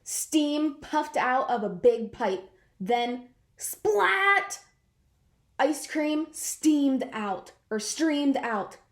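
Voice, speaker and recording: A woman reading a picture book aloud to children in an expressive way, drawing out words for effect. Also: high-pitched, very slow. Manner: animated, draws out words for effect. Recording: roomy, echoing sound.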